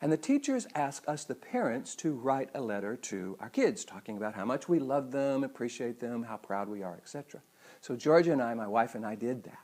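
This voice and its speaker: A man's voice: mid-range voice, sing-song, brisk, a little mellow. A middle-aged man giving a motivational talk as a host, with earnest, emphatic phrasing. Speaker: psychologist and speaker hosting a weekly show.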